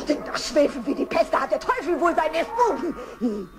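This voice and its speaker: A cheeky little bird in a children's radio play. The voice is high and jaunty, with strong music behind it. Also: female voice, very animated, moderate pace. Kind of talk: German children's audio drama acting.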